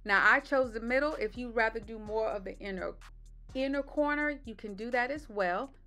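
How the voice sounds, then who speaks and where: Raspy-voiced woman narrating a makeup tutorial. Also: fairly high pitch, normal speed, soft background music. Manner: relaxed step-by-step instructing. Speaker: beauty vlogger. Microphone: voice-over mic.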